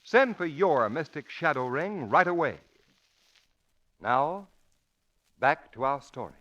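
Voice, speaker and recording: A man working as a radio announcer, delivering a dramatic 1940s advertising pitch. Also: animated, takes it slowly. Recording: muffled old 1940s radio recording.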